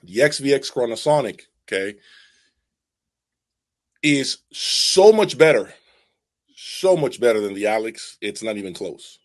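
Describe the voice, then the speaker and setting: A man's voice: medium pitch, very animated, a little slow, long pauses. A man hosting an audiophile channel, giving casual, unscripted product commentary in an emphatic way, repeating his points and checking in as he goes. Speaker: host of an audiophile video channel.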